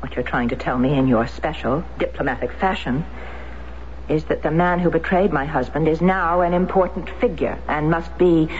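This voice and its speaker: A woman's voice: deep voice, moderate pace, close to an everyday voice. An actress playing a determined grieving widow in her thirties who speaks firmly and earnestly, in 1970s radio drama style. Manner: firm, pressing, earnest. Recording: muffled lo-fi radio broadcast with faint hiss.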